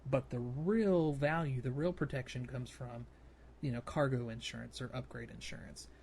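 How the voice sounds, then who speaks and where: A man's voice: medium pitch, moderate pace, darkish tone. Man podcasting over noticeable background noise. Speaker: podcast co-host. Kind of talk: casual podcast discussion of gaming.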